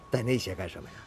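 An actor playing a modest, hearty middle-aged master fitter from a steel plant in a radio drama. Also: male voice, medium pitch, darkish tone, husky, heavily acted.

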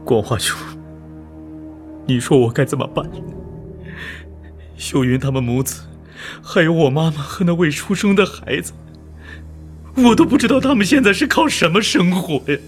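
An actor playing an earnest, deferential, homesick soldier in a radio drama, with emotive delivery. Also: male voice, fairly high pitch, lively intonation, normal speed, long pauses, faint music behind. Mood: anxious, despairing. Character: earnest, homesick younger male soldier, deferential to his superior. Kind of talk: Chinese radio drama acting.

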